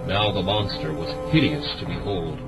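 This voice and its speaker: A man's voice: deep voice, normal speed. A man narrating an archaic story from a script as the narrator of an audio drama. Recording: slightly dull sound with music. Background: music.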